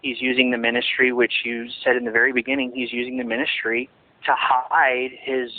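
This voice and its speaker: A man's voice: mid-range voice, monotone, brisk. A man giving a phone interview, talking in a rambling, emphatic way with many restarts. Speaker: interviewee, former associate of a ministry. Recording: tinny phone line, dry.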